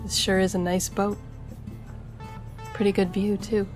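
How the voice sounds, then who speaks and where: A woman's voice: medium pitch, little pitch movement, unhurried, a little mellow, natural voice. A woman playing a charming, flirtatious gambler and stowaway in an intimate audio roleplay, teasing and conversational as she speaks to the listener. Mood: relaxed. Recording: music behind the voice.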